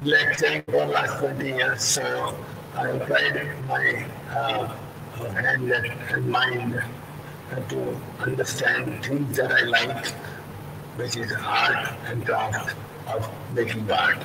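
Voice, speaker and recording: An older man from India with a Gujarati-influenced accent, reading a prepared talk on a video call in a slow, halting way. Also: medium pitch, expressive, gravelly, roomy sound, distant mic, heavy background noise. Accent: Gujarati-influenced English. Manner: slow and halting, with many uh pauses. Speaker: sculptor and panel speaker. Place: room at home, indoors. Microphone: laptop mic on a video call.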